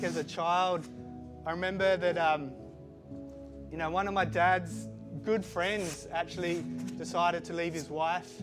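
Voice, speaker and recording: Halting middle-aged man, mixed Australian accent. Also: high-pitched. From Switzerland, raised in Australia. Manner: halting, many fillers and restarts. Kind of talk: personal testimony. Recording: music playing behind the voice.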